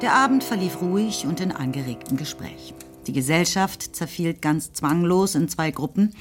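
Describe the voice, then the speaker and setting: A woman narrating a radio drama in a measured, composed way. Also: fairly low pitch, lively intonation, music in the background. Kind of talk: scripted literary narration.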